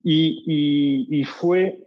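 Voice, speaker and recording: A man's voice: a little high, steady tone, very slow, highs cut off. A man from France with a French accent, speaking as a startup cofounder answering an interview question, with many fillers and restarts.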